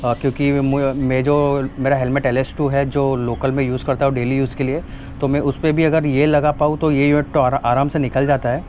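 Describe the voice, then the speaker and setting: A man's voice: mid-range voice, little pitch movement, moderate pace, darkish tone. Indian guy doing a casual unboxing vlog, normal mid voice, mixes in english, some noise behind him. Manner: informal, switches between Hindi and English. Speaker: motovlogger presenting a product. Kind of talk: casual unboxing and installation walkthrough.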